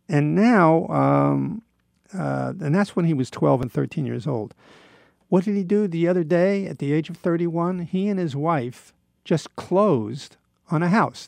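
Gravelly man in his seventies, reflecting aloud. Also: fairly high pitch, darkish tone, dry recording. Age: 70s. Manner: halting, reflective, self-deprecating. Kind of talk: rambling personal monologue.

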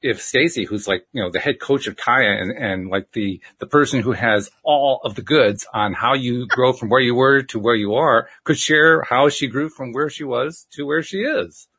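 A man's voice: medium pitch, normal speed, hardly any pauses, pushed and bright, husky. A man hosting a podcast in a casual, rambling way, chuckling as he talks. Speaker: podcast host.

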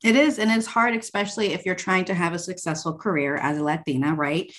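A woman's voice: lowish voice, fairly even intonation, brisk, a bit bright. A woman hosting an interview, encouraging her guests and affirming them often. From United States. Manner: encouraging, frequent affirming backchannels. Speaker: interview host. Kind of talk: conversational interview.

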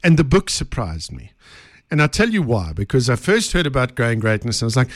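A male host from South Africa chats with a guest in a studio, his voice dry and close. He talks in an animated, halting way, restarting and repeating words. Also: medium pitch, dry recording. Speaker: podcast host. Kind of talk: casual studio interview.

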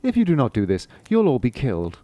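A man voicing a clever, scheming talking cat in boots, bossy with peasants and flattering to a sorcerer. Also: medium pitch, quick pace, no echo. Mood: commanding. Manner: bold, coaxing, commanding.

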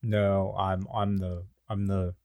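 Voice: low-pitched, level tone, slow, mellow, dry recording, clean studio sound. A man voicing a laid-back alien teenage skater kid who talks like a drawling surfer dude. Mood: chill.

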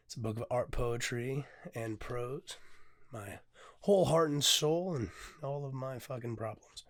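A hoarse, tired man hosting his own podcast in a casual, candid way, often pausing on "um" and swearing freely. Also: mid-range voice. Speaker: podcast host and poet. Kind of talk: casual solo podcast talk.

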